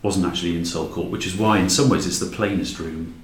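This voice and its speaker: A man's voice: deep voice, fairly even intonation, fast. A man talking as an interview guest, explaining a creative project conversationally with plain examples. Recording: echoey room.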